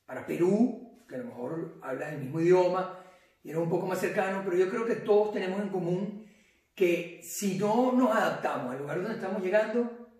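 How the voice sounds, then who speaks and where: A man's voice: high voice, fairly quick, darkish tone. Male interview guest, Venezuelan, casual delivery, heard some way from the mic in a very reverberant room. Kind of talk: casual chat. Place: indoor living room. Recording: echoey room, distant from mic.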